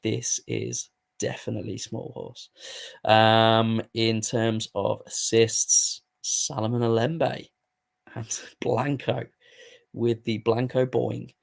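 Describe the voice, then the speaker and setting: A hoarse man giving casual, sweary commentary while playing a football management game. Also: on the low side, little pitch movement, takes it slowly. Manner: swears freely, muttering asides while playing. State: hoarse, voice going. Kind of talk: casual live gaming commentary.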